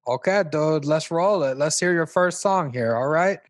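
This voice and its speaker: A man acts out an easygoing slacker dude hosting a comedy talk show. His voice sits fairly high and sounds dry and close. He is laid-back yet enthusiastic, chatting with his guest like a pal. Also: moderate pace, no echo, close to an everyday voice. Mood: upbeat, encouraging. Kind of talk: mock interview on a comedy radio show.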